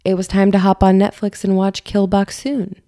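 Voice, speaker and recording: A woman's voice: medium pitch, completely flat delivery, normal speed, warm tone. A young woman co-hosting a casual podcast, playfully recapping a movie plot. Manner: playful storyteller, recaps plots. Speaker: podcast co-host. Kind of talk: casual chat.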